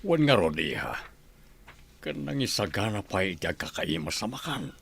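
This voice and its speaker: A man's voice: low-pitched, very animated, normal speed, vocal fry, fairly bright tone, breathy edge, full character voice. A man playing a helpful, courteous husband and aspiring politician in an Ilocano radio drama.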